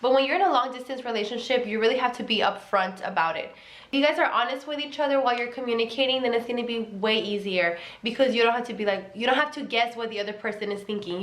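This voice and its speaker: A woman's voice: a little high, fast, fairly bright tone. A young woman with a Mexican accent giving relationship advice in a casual vlog, earnest and emphatic, repeating key words. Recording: echoey room.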